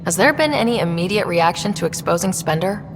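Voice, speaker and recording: An actress playing a young, steady, principled space explorer in video game dialogue. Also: female voice, fairly low pitch, a little fast. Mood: matter-of-fact. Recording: long reverb with background noise.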